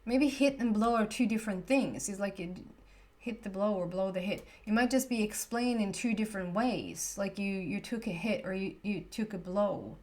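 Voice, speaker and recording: A woman's voice: mid-range voice, moderate pace, a little mellow. A woman with a Swedish accent, a Swedish native speaker giving a casual tarot reading, chatty and often searching for the right words. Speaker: tarot reader.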